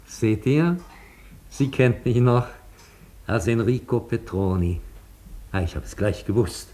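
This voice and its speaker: An actor in a German radio play playing a genteel, vain old former opera singer with courtly, theatrical manners. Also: male voice, medium pitch, unhurried, mellow, close to an everyday voice. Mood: pleased, vain. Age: older.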